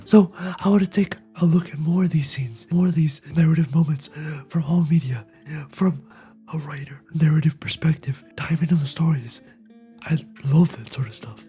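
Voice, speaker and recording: A host with a raspy, quiet, fragile voice, talking through a game scene in a soft near-whisper with frequent "right?" asides. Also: medium pitch, moderate pace, soft background music. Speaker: video essay host reacting to a game scene. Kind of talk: casual spoken analysis.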